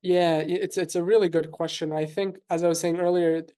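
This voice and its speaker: A man in his thirties from New York City whose first language is Russian, a physician guest answering interview questions in a thoughtful, measured way with frequent fillers and restarts. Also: fairly high pitch, little pitch movement, dark tone, smooth.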